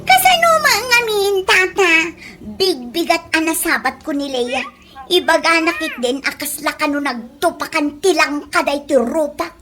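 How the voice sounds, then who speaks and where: A woman acting a shrill, indignant village gossip. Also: big pitch swings, measured pace, sharp tone, full character voice. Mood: indignant complaining. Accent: Ilocano. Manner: indignant, confrontational, whiny exclamations. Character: Wilma, quarrelsome thin-skinned village gossip woman. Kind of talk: Ilocano comedy radio drama acting. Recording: noisy home-made radio drama recording.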